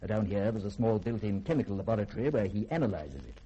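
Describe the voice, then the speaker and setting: A man's voice: lowish voice, sing-song, fast, warm tone, breathy edge, dull audio. A man in a 1950s radio drama playing an erudite, reclusive scholar who speaks in eloquent, formal, lecturing sentences. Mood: urbane, wry.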